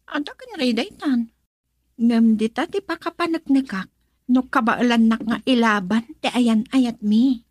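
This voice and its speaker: An actress with a northern Philippine accent playing a dutiful, gentle young woman in love in a radio drama, soft-spoken and hesitant. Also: on the high side, a little slow, breathy edge, no echo, clean studio sound. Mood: thoughtful, earnest. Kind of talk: Ilocano radio drama acting.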